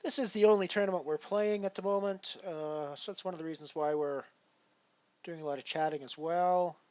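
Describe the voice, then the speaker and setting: A man's voice: a little high, fairly even intonation, fairly quick. Chatty Canadian man in his fifties. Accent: Canadian (Albertan). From Alberta, Canada. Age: 50s. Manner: chatty, greets viewers warmly by name. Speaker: poker streamer. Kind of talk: casual live-stream commentary. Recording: dull lo-fi stream audio with faint noise.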